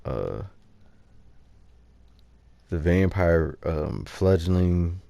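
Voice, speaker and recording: A man giving casual, off-the-cuff game walkthrough commentary, thinking aloud in a halting way. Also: deep voice, expressive, gravelly, mellow, dry recording. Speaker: gaming video host.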